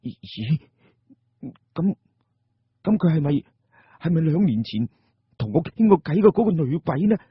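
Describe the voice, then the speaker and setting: Man voicing a greedy, jittery grave robber, husky and swooping. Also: a little high, dry recording, muffled recording, voice pushed up. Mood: uneasy wonder. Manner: excitable, exclamatory muttering to himself. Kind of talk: radio drama storytelling.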